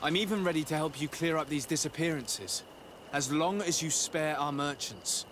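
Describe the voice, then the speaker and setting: A man playing a calm, courteous noble envoy in a video game, speaking in a measured, formal, conciliatory way. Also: fairly high pitch, slight background noise, lightly acted. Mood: reasoning, concerned. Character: calm diplomatic male legate, courteous young noble envoy. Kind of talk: scripted video game cutscene dialogue.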